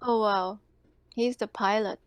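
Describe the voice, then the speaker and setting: A woman's voice: mid-range voice, fairly even intonation, unhurried, smooth. A woman playing a tabletop roleplaying game, speaking casually in character.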